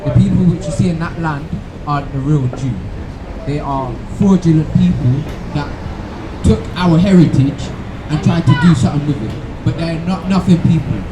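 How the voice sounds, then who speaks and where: A man's voice: a little high, moderate pace. A man preaching outdoors, emphatically teaching and calling out scripture for others to read. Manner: emphatic, instructing, calls out scripture. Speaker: street preacher. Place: outdoors on a busy street. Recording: loud street traffic noise.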